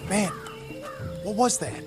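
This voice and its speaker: Radio drama: an edgy young private, higher pitch, anxious questions. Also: male voice, very animated, slow pace, loud music behind the voice, exaggerated voice. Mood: nervous. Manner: nervous, questioning. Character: jumpy young soldier.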